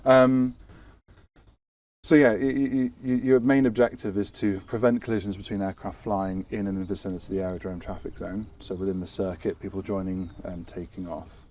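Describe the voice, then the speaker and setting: Mellow British man, slightly distant mic. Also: deep voice, level tone, brisk. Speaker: air traffic controller, interview guest. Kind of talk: informal explanatory interview chat. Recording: distant mic, faint background noise and ambience.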